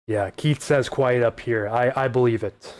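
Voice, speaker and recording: A man hosting a live weather stream, talking through wind data and viewer questions in a casual, conversational way, often restarting his sentences, his voice starting to go hoarse. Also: medium pitch, steady tone, moderate pace, no echo. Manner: conversational, repeats words and restarts while reading data. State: voice getting hoarse. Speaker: weather livestream host.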